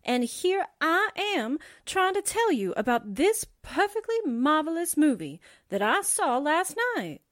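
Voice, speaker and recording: A woman acts a gossipy, folksy young office assistant in a comic radio play. Her high voice swoops up and down as she slowly spins out her dramatic tales, recorded very dry. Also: very animated, fairly bright tone, no room sound, full character voice. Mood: chatty, insistent. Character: chatty, dramatic young female newspaper assistant. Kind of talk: radio drama comedy acting.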